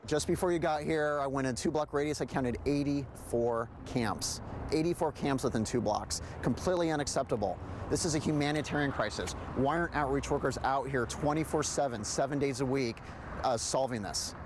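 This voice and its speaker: A man's voice: medium pitch, fairly quick. A man with an American accent, an outreach worker speaking emphatically outdoors on a city street. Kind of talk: on-the-street interview and commentary. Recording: noisy outdoor urban street, traffic.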